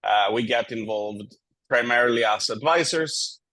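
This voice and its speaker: Male business guest from Greece, mid-pitched, candid and structured, conversational consultant delivery. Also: measured pace, sharp tone. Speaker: startup co-founder and consultant, interview guest.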